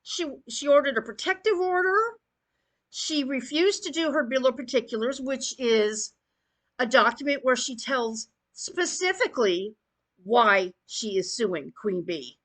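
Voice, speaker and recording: A woman's voice: high-pitched, animated, unhurried, poor audio. A woman giving an opinionated rant as an online commentator, blunt, emphatic and scornful in her delivery. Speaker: YouTube commentator.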